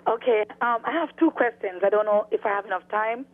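A hoarse woman calling in to a TV show by phone, speaking in a halting, hesitant way. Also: mid-range voice. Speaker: caller. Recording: tinny phone line.

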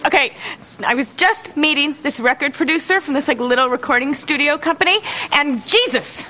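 A young American woman acting a quirky, animated sitcom character in studio outtakes, with a loud audience and heavy noise. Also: high-pitched, brisk, close to an everyday voice. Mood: flustered. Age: young adult. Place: indoor studio sitcom set.

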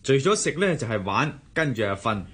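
A man's voice: mid-range voice, big pitch swings, a little fast, a little hiss or hum, lightly acted. A man with a Hong Kong Cantonese accent acting an earnest, devoted young husband in a radio drama, defensive and teasing by turns.

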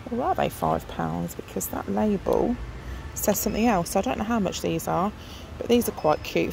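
A woman's voice: medium pitch, vocal fry, a little mellow. A middle-aged woman chatting casually as she films aisles in a discount shop on her phone, thinking aloud with lots of ums. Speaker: shopping vlogger. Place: inside a large discount shop. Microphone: phone held while filming. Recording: noisy shop ambience.

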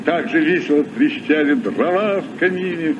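An actor playing a courteous, nostalgic seasoned castaway captain in a vintage radio play, speaking with theatrical deliberation. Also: male voice, fairly high pitch, moderate pace, natural voice. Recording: muffled, noisy old radio recording.

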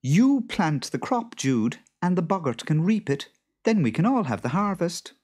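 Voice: medium pitch, sing-song, normal speed, darkish tone, husky, pitched above the performer's own voice. A storyteller voicing a farmer's wife in a children's tale, shrewd and sensible, persuasive and a little bossy. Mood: brisk, persuasive.

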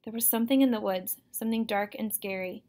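A woman's voice: a little high, fast talker, mellow, lightly acted. A woman voicing a frightened, protective boy who speaks urgently and insistently in an audiobook story. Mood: scared, blurting. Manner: urgent, blurting.

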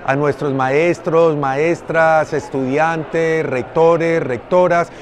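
Middle-aged man, Colombian accent, slightly high pitch, official speaking to camera. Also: steady tone, slow. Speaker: education official. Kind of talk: public appeal to camera. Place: large indoor exhibition hall.

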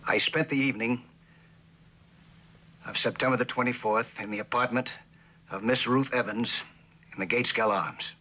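An American man in middle age playing an earnest, conscience-stricken company man in a 1960s TV drama, speaking haltingly and soberly. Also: medium pitch, fairly even intonation, bright, forceful tone. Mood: halting, grave. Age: middle-aged. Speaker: actor playing a witness. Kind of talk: 1960s television drama acting.

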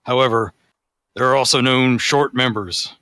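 A man's voice: medium pitch, steady tone, normal speed, pushed and bright, no room sound, studio quality, close to an everyday voice. A man voicing a haughty, pedantic vampire forum poster, reading formally and pompously. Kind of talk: dramatic reading of forum posts.

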